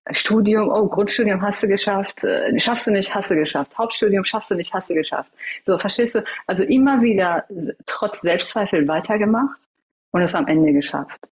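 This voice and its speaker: A woman's voice: mid-range voice, slightly rough. A woman in her thirties whose first language is Farsi, a guest telling her life story in a casual interview, hesitant and reflective with many fillers.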